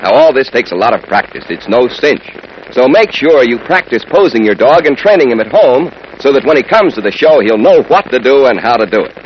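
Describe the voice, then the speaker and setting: A man's voice: mid-range voice, very animated, a little fast. A man reading a scripted 1940s radio segment as announcer, in a chummy way aimed at youngsters. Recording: muffled old radio broadcast, faint hiss.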